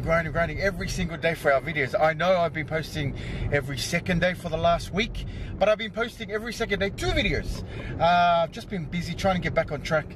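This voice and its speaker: A man's voice: a little high, noisy background. A man in his thirties from Samoa, chatting casually to a handheld camera in an upbeat way and tagging his lines with "yo". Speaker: vlogger and salon owner. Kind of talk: casual vlog chat to camera. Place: parked car, then a hair salon. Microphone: handheld camera held close.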